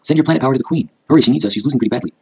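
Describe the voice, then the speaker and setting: Casting: man doing urgent teen girl scout, high, loud, exclamatory, anime battle tale. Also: racing, slightly rough. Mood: rallying. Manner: shouting, exclamatory. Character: urgent band of teenage girl sailor scouts cheering on.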